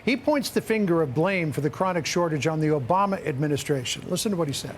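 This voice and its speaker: A man's voice: a little high, animated, fast talker, a little hiss or hum. An American man working as a news anchor, setting up and asking questions in a broadcast interview.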